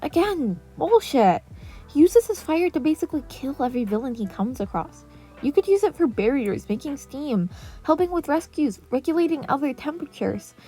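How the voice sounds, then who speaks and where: A woman's voice: high voice, sing-song, normal speed, dark tone, pitched above the performer's own voice. A woman voicing a blunt, exasperated but caring teenage boy counselor in an emphatic, lecturing, sweary manner for a story. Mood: exasperated, forceful. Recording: music playing under the voice.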